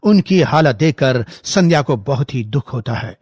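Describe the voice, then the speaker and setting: A man's voice: mid-range voice, animated, moderate pace, darkish tone, breathy edge, no room sound. A man narrating a scripted story as its storyteller.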